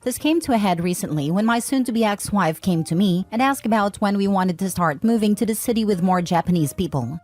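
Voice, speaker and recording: A woman reading forum posts aloud as scripted narration, in an even, steady way. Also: medium pitch, fairly quick, few pauses. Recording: faint background music.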